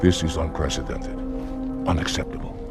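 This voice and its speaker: Stern alien warrior officer, super low growly voice, formal, music behind, game cutscene. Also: male voice, steady tone, normal speed, gravelly. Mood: indignant. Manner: formal, forceful. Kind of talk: video game cutscene acting.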